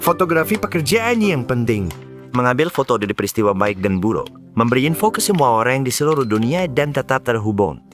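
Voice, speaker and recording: A mid-pitched male voice with very animated intonation over light music. A man plays an earnest cartoon mentor, explaining things instructively for young children. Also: a little fast, close to an everyday voice. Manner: didactic, expressive. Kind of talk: children's cartoon dub acting.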